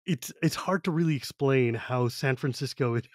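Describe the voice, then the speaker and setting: A man's voice: medium pitch, moderate pace, no echo. American guy podcast host, casual and emphatic, dry close recording. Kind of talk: casual chat.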